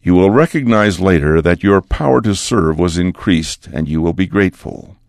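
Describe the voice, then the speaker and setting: An older man, a church leader, giving a measured, earnest devotional address to students. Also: deep voice, expressive, darkish tone, no echo, clean studio sound.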